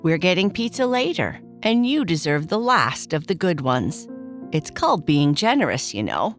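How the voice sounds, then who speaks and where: A woman voicing a chatty, excitable preteen girl who talks in a bubbly, upbeat way. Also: lowish voice, moderate pace, faint music behind. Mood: fond.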